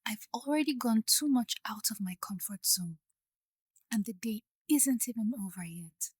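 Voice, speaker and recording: Woman acting as soft breathy young bride in an audio drama, takes her time, wistful but resolute. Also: fairly high pitch, expressive, unhurried, no room sound, studio quality. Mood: weary, shaken. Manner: soft, breathy, hushed delivery.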